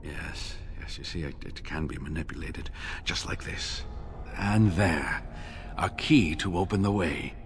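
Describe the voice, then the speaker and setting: An actor playing a wounded, devoted elderly scholar in a video game, speaking in a halting, earnest way. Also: male voice, extremely low pitch, a little hiss or hum. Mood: absorbed. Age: older.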